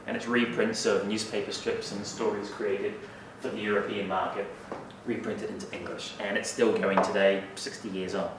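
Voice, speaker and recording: A man's voice: fairly low pitch, level tone, moderate pace, noisy background. Male lecturer, New Zealand accent, wry and self-mocking, heard from a distance in a very reverberant hall. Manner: wry, self-deprecating asides with frequent "uh" fillers. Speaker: guest speaker. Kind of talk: university lecture talk. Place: university lecture room. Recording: echoey hall, mic at a distance.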